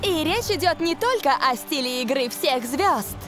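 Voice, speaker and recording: A dry female co-commentator for a football cartoon. Her voice sits high, and loud music runs under the calls. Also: expressive, moderate pace. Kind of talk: sports commentary.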